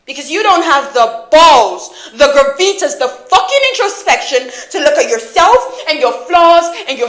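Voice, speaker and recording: A woman performing a dramatic film monologue as a wounded, angry young woman who confronts her lover with intense, profane accusations. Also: on the high side. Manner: profane, accusatory, intense, swinging from hushed hurt to loud scorn. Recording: echoey room.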